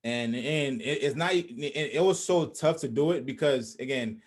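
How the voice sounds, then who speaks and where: A man's voice: medium pitch, moderate pace. Young man talking reflectively on a call. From Haiti. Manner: reflective, many restarts and fillers. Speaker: host. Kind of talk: casual conversation on a video call. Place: small indoor room. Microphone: video call webcam.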